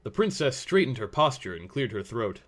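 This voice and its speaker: Man, story narrator, average male pitch, scripted reading. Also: very animated, moderate pace.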